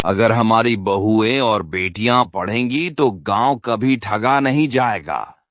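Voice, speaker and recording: A man's voice: on the low side, takes it slowly, fairly bright tone, slight background noise. A man playing an agreeable villager in a cartoon story. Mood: convinced.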